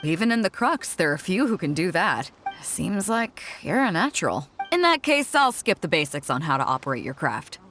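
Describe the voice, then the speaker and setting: A bold pirate fleet captain from a video game, speaks with assured command and a teasing edge. Her pitch swings widely as she talks, and soft music plays behind her. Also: big pitch swings, normal speed. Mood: firm, instructive. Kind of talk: video game character acting.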